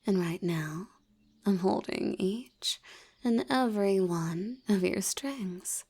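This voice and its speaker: A woman voicing a seductive, commanding vampire hypnotist in an intimate audio roleplay, soft and breathy, often near a whisper, with a teasing, coaxing manner. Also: medium pitch, heavily acted. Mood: hypnotic, commanding. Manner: slow, coaxing, teasingly dominant.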